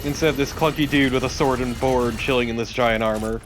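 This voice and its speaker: A man's voice: medium pitch, fairly even intonation, a little fast, background noise. A man talking casually and bluntly as co-commentator on a video game let's play. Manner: blunt, informal.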